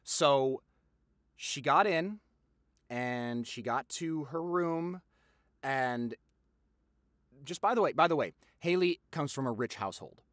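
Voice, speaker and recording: A man's voice: medium pitch, no echo. Gossipy young-sounding boyfriend, acted by a man, close and dry. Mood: storytelling, suspenseful. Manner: animated storytelling, dramatic pauses, repeats for emphasis. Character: popular boyfriend gleefully spilling juicy gossip to partner. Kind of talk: audio roleplay, conversational monologue.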